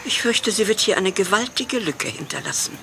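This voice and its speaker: A woman's voice: mid-range voice, expressive, moderate pace, gravelly, very noisy. A woman playing a hearty, doting older countrywoman in a radio drama. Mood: doting, indignant.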